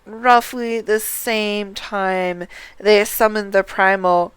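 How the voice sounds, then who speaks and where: A woman reads video game dialogue for a livestream. Her voice is exceptionally clear and mid-pitched, with a slow, deliberate delivery. The sound is dry. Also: fairly even intonation, dry recording. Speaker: game streamer.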